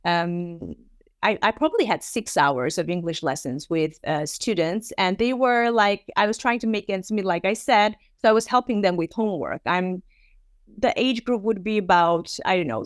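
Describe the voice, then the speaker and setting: A woman's voice: medium pitch, animated, normal speed, no room sound, clean studio sound. A woman in her thirties, born in Armenia with Armenian as her first language, giving an online presentation in a conversational way, full of fillers and acted-out quotes. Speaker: teacher trainer.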